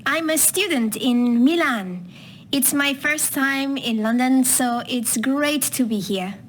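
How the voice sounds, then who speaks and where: A woman's voice: high voice, slow pace, a little hiss or hum. A woman playing a student introducing herself in a scripted audio dialogue.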